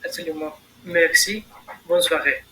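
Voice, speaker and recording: Bright-voiced young man. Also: a little high, unhurried, poor audio. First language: Spanish. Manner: recited prepared answers with hesitant fillers. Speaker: candidate in a mock admission interview. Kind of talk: role-played job interview.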